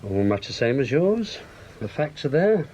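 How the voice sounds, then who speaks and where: A man's voice: medium pitch, very animated, unhurried, warm tone, dull audio, a little hiss or hum. An actor playing a reserved British agent in a radio drama, polite and brief as he probes with questions. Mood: probing.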